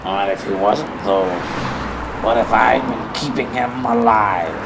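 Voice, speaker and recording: Slow, gravelly radio villain. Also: male voice, lowish voice, fairly even intonation. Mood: smug taunting. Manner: taunting, slow, menacing drawl. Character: sadistic, gloating male kidnapper villain. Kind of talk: old time radio drama acting. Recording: heavy noise behind, city bridge traffic effects.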